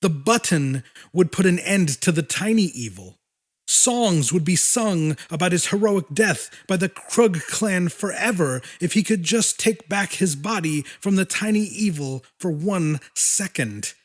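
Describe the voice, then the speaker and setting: A man's voice: high-pitched, lively intonation, measured pace. A man reading scripted fiction as an audiobook narrator, in a dramatic storytelling style.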